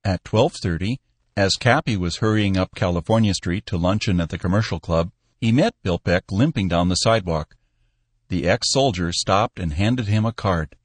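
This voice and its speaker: A man's voice: low voice, moderate pace, breathy edge, dry recording. A man reading a story aloud as a scripted audiobook narration.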